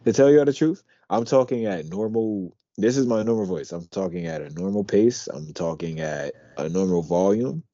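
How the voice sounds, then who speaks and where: A young man from Pennsylvania co-hosting a podcast, talking in a deep, quiet voice through his teeth, relaxed and rambling. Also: normal speed, few pauses, dry recording, muffled recording. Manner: relaxed, rambling, swears casually. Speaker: podcast co-host. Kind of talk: casual chat.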